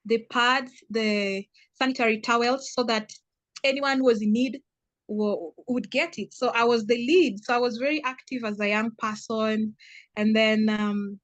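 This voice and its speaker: Soft-spoken Kenyan woman, hesitant storyteller. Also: on the high side, no room sound. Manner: hesitant, many fillers and restarts, storytelling. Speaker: guest. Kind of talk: casual interview answers.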